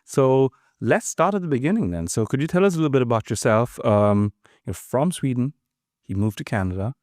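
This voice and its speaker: A man's voice: mid-range voice, expressive, brisk, darkish tone, dry recording, studio quality. A man hosting a podcast, enthusiastic and friendly as he introduces and questions his guest. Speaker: podcast host. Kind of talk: casual interview chat.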